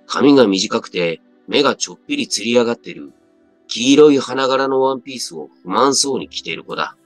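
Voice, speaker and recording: A man's voice: mid-range voice, animated, a little fast, dry recording. A man reading a scripted first-person story as a dramatic narrator. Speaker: story reader. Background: background music.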